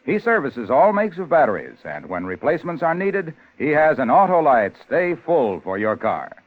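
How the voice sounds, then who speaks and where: A man announcing and pitching for the sponsor on a 1950s radio show, with theatrical, emphatic delivery. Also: big pitch swings, moderate pace, narrow, tinny audio. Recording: thin, band-limited 1950s radio broadcast.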